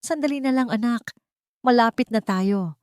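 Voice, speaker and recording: A frightened young woman played by a female narrator in an audiobook drama. She pleads desperately and tenderly, her voice lifted higher, with a dry, close sound. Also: moderate pace, darkish tone, no room sound, natural voice. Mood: anxious pleading. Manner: pleading, prayerful.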